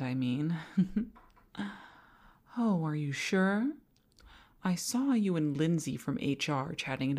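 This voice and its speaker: A woman playing a flirtatious, possessive office boss in an intimate audio roleplay, coy and halting, sometimes slipping into breathy tones. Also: low voice, darkish tone, heavily acted. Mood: probing, possessive. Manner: coy, halting, giggly, often dropping to breathy whispers.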